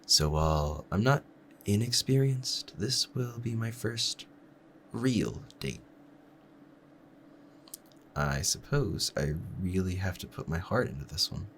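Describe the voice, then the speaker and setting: A man playing a lovestruck assassin in a roleplay audio drama, speaking to the listener in formal, measured, courteous phrasing with quiet menace. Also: fairly low pitch, lively intonation, a little hiss or hum, full character voice. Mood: flattered, giddy.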